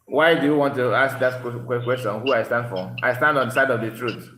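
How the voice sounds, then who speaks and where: A man's voice: medium pitch, fairly quick. A man hosting a call-in radio show, pressing the caller with repeated questions and cutting in. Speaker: radio talk show host. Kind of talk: call-in debate. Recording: roomy, noisy room sound.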